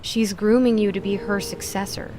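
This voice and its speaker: A woman's voice: mid-range voice, steady tone, normal speed, dark tone, slight background noise, natural voice. A woman playing a guarded, lonely young goddess, blunt and sardonic, in an audio drama. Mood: earnest. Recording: music behind the voice.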